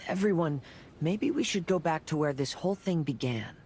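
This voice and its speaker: A man playing a calm, cautious young swordsman in a video game, speaking in a measured, reflective way. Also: a little high, slight background noise, natural voice. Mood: measured, explaining. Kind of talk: video game cutscene acting.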